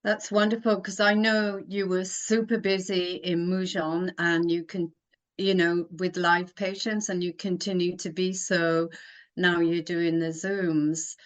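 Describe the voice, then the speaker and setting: A woman's voice: on the low side, level tone. A woman hosting a wellness interview, introducing her guest in a careful, welcoming way. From Australia. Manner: slow, careful, welcoming delivery. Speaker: podcast host.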